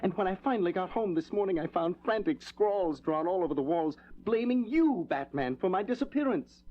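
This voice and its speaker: An actor playing a gentle, troubled scientist husband in a 1970s superhero audio drama, soothing and explanatory. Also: male voice, sits high, lively intonation, a little fast. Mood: apologetic, earnest. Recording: dull 1970s record with music.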